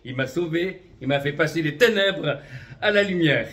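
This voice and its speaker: A man's voice: fairly high pitch, animated, normal speed, fairly bright tone. A man in his fifties, originally from Vietnam, an itinerant prophetic minister giving a religious testimony, speaking fervently and often adding amen and voilà. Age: 50s. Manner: fervent, peppers speech with amen and voilà, light chuckles.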